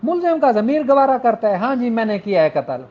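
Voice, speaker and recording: Man, middle-aged, Pakistani accent, law lecturer, familiar conversational teaching, dull low-fidelity recording. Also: very animated, brisk. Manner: conversational, addresses listeners as his children and siblings. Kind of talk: informal explanatory lecture. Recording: dull lo-fi audio.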